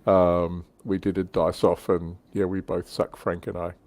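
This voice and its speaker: Low-voiced man hosting a casual panel. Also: level tone, a little slow, darkish tone, no echo. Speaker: livestream panel host. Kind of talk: casual hobby discussion.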